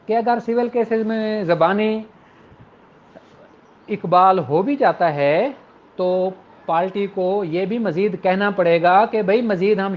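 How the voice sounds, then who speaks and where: Dull lo-fi recording of a Pakistani law lecturer. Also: medium pitch. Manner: Urdu speech mixed with English legal terms. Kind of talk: informal explanatory lecture with examples. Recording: dull, lo-fi audio.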